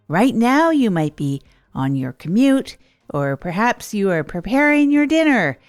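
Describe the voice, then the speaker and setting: A woman playing an earnest, hapless radio host in a comedy audio drama, rambling in a deliberate, self-deprecating way. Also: mid-range voice, a little mellow. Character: Tog Chesterfield, earnest, hapless radio host rebuilding their life. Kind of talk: improvised comedy audio drama monologue, late-night radio parody.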